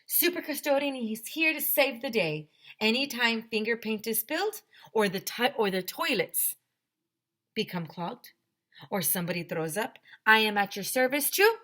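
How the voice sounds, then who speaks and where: A woman's voice: on the high side, animated, moderate pace, fairly bright tone, pitched above the performer's own voice. A woman voicing a boastful, eager school custodian in a children's storybook, with grandiose superhero-style proclamations. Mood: grandly heroic.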